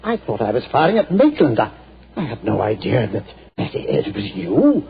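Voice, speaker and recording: An actor playing a stern, proud middle-aged general in a 1940s radio drama, earnest and prone to stammering. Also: male voice, medium pitch, huge pitch swings, moderate pace, vocal fry, a crowd in the background. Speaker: army general. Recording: lo-fi old radio broadcast.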